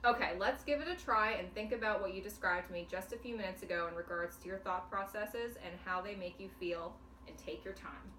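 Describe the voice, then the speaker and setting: A woman's voice: medium pitch, fairly quick, few pauses. Patient woman clinician in an echoey room. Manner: calm, patient, clearly explaining. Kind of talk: demonstration counseling session. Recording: echoey room, mic at a distance, faint noise.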